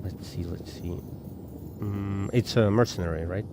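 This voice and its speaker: A man's voice: low-pitched, steady tone, unhurried. A man with an Armenian accent streaming a space game, chatting casually with his viewers and often repeating his words. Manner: rambling, repeats words, talks to chat. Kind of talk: casual live-stream chat while gaming. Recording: game noise behind the voice. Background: game sounds.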